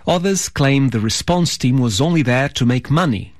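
A man reading a scripted news report in a serious, measured tone. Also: mid-range voice, expressive, dry recording, clean studio sound.

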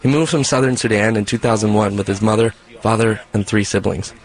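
A man's voice: on the low side, poor audio. Man narrating a radio feature. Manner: measured, even storytelling delivery.

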